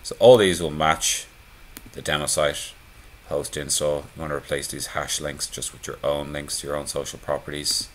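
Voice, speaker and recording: Male tutorial presenter, extremely low voice, laid-back conversational delivery, voice-over screencast. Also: normal speed, slight background noise. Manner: relaxed, conversational, many fillers. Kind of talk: screencast walkthrough.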